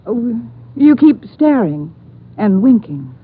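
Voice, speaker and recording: A woman's voice: on the high side, big pitch swings, slow pace, husky, heavily acted. A woman playing a playfully stern young nurse in a 1950s radio drama. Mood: teasing. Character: teasing, no-nonsense young female nurse. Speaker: registered nurse. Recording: tinny old radio recording.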